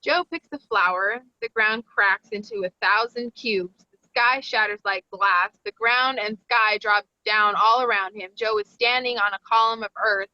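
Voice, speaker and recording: A woman's voice: mid-range voice, moderate pace, hardly any pauses, bright, forceful tone, dry recording, highs cut off. A woman reading screenplay action lines as scripted narration. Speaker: stage directions reader.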